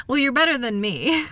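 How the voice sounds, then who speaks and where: A woman playing a loving, reassuring wife in an intimate comfort roleplay, speaking in a gentle, soothing, affectionate way. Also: high voice, dry recording, heavily acted. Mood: playful, fond. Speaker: audio roleplay voice performer.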